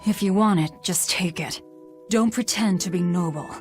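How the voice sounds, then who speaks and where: A woman's voice: lowish voice, moderate pace, darkish tone, faint music behind, natural voice. A woman playing a gloomy, hard-bitten young assassin in a video game, curt, blunt and scornful. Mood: irritated, scornful.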